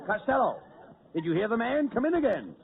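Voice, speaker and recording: A comedian playing a brisk, exasperated straight man in a 1940s radio comedy. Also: very high voice, big pitch swings, phone-quality sound, heavily acted. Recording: scratchy 1940s radio broadcast.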